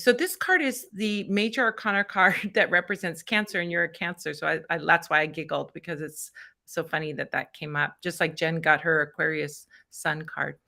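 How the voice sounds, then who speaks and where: A woman's voice: medium pitch, bright, forceful tone. A woman hosting a live-stream tarot reading, chatty and encouraging, thinking aloud as she handles the stream.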